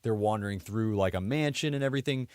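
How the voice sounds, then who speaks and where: A man's voice: fairly low pitch, quick pace, a little mellow, clean tone, no room sound, clean studio sound. A man co-hosting a podcast, talking casually about movies with loose, conversational phrasing. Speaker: podcast co-host.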